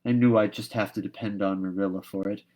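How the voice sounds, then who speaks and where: A man's voice: lowish voice, steady tone, fast talker, dark tone, natural voice. Young orphan girl for a storybook, read by a man: imaginative, wistful, earnest, given to dramatic pleading. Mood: disappointed, dreamy.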